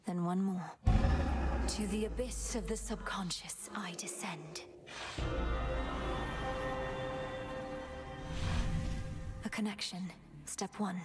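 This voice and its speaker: A woman's voice: medium pitch, measured pace, over loud music, lightly acted. A woman voicing an earnest, determined young witch princess in a video game, speaking in a solemn, formal manner. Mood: solemn, incantatory.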